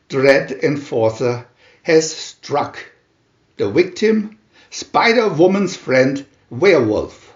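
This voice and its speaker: A man reading a comic adventure booklet aloud as a dramatic narrator, announcing each part with theatrical pauses. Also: a little high, reverberant, lo-fi sound.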